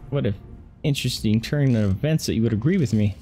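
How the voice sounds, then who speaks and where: A man's voice: mid-range voice, quick pace, dark tone. A man streaming a video game, casually commentating with wry asides to the characters. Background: game music.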